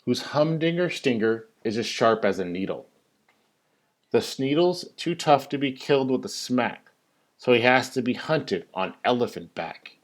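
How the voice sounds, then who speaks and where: A man who works as a teacher reads a children's story in rhyming verse, with an expressive, sing-song delivery that stresses key words. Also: mid-range voice. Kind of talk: animated storybook read-aloud of rhyming verse.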